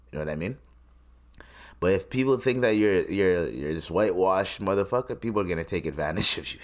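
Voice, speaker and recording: A man from Tanzania hosting a solo podcast, rambling and swearing between his points. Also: low voice. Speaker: podcast host. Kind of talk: casual solo podcast commentary.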